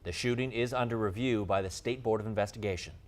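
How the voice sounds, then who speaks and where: A man's voice: fairly low pitch, quick pace, a little hiss or hum. A man with an American accent reading scripted television news as an anchor.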